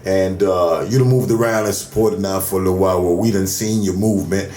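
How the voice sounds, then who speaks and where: A man's voice: low voice, fairly even intonation, brisk, warm tone. An American man co-hosting a radio show, talking in a casual, slangy, emphatic promoter's style. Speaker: radio station host and co-host. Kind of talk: casual hype radio talk. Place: indoor radio studio. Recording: roomy studio echo.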